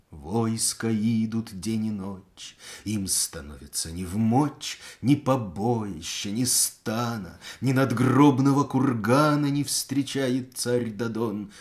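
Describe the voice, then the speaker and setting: A man narrating a verse fairy tale in a measured, rhythmic, expressive way. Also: medium pitch. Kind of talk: storybook verse reading.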